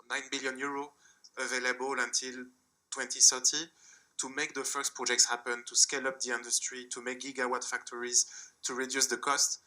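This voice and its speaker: Bright-voiced French man on a panel. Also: medium pitch, rough recording. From France. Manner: measured, explanatory, with small restarts. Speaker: panelist, hydrogen developer. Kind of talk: conference panel answer. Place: conference hall panel.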